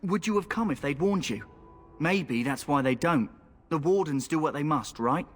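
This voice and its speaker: A man's voice: a little high, animated, moderate pace. An actor voicing a cocky male rogue recruit in a video game, mocking and sardonic. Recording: game audio with long reverb.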